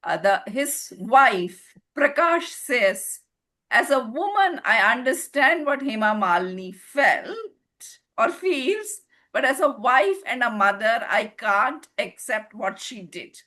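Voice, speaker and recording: Female discussion participant, fairly high and sharp-edged, unhurried, deliberate and moralizing, speaking over a video call. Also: expressive. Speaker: book club participant. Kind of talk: opinion in a group discussion.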